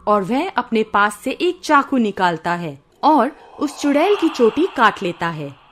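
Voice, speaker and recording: Female narrator for children's moral tales: high, animated, expressive scripted read. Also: measured pace, slight background noise. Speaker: storyteller. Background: faint background music.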